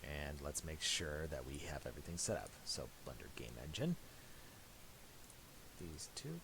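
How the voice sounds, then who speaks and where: A man giving a casual, unscripted software tutorial, muttering and thinking aloud in a halting way. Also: rumbling low, big pitch swings. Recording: hissy home recording with mouse clicks.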